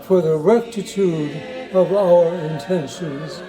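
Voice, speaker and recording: Male community reader, high register, unhurried recitation of a historical text over music. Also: poor audio. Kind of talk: scripted reading of historical document. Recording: music behind the voice.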